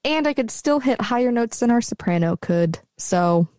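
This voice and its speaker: A woman with a low speaking voice, co-hosting a podcast in relaxed, chatty conversation on her own microphone at home. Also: moderate pace, clean tone, no echo. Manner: relaxed, chatty, laughs easily. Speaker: podcast co-host. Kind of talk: casual chat. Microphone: own microphone with bass roll-off.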